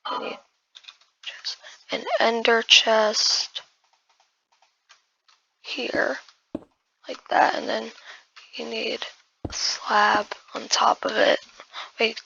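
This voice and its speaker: A hoarse woman who has just woken up, giving casual gameplay commentary while building and often muttering in half-whispers to herself. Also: fairly high pitch, flat delivery, takes it slowly, long pauses, pushed and bright, muffled recording. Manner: muttering half-whispered asides to self, addressing viewers as guys. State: hoarse, losing voice after just waking up. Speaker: gaming video creator.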